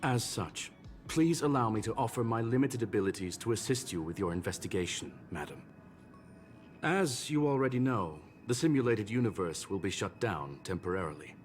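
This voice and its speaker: An actor voicing a learned, formal gentleman robot in a video game, with courteous, measured phrasing. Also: fairly low pitch, lively intonation, lightly acted. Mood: calm, explanatory. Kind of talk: scripted video game dialogue. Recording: game music behind.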